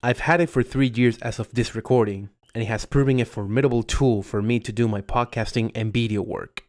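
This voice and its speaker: A man reading his own scripted voiceover in a measured, formal way, close to a USB condenser mic at home. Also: medium pitch, steady tone, a little mellow. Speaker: video creator.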